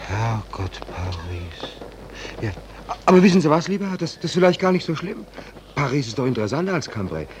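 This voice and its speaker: A man acts out a hapless, talkative drifter in a 1940s radio drama. His voice swings widely in pitch as he pleads and protests in naive, colloquial phrases, often faltering. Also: sing-song, fairly quick, noisy background, natural voice. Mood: sheepish, stalling. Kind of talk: 1940s German radio play acting.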